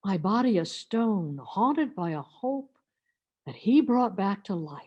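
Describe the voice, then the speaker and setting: Woman, emotive dramatic monologue, very lively pitch, slow pace, anguished and defiant, church meditation reading. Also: highs cut off. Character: Mary Magdalene, anguished, defiant former prostitute.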